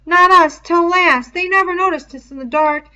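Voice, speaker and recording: A woman voicing an anxious, stubborn schoolboy in a storybook, shrill and defensive, with ungrammatical boyish speech. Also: moderate pace, bright, forceful tone, highs cut off, heavily acted. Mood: stubborn, eager. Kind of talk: storybook read-aloud character voice.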